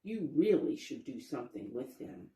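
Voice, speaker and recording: A woman's voice: a bass voice, sing-song, normal speed, mellow. A woman reading a storybook as a sly, pushy male fox with a persuasive, salesman-like manner. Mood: coaxing. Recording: roomy, echoey sound.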